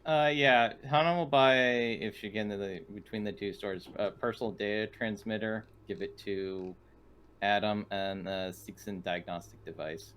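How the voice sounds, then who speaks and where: A man playing an online tabletop game, chatting casually and thinking aloud in a halting way. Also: lowish voice, fairly even intonation, fairly bright tone, clear, resonant tone. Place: online voice call.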